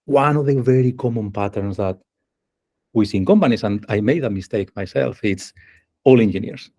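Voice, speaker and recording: A man's voice: lowish voice, moderate pace, mellow, muffled recording. Spanish-accented man leading an online workshop. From Spain. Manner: explanatory, poses questions to the audience. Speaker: engineering manager giving a workshop. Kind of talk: online presentation. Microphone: video call.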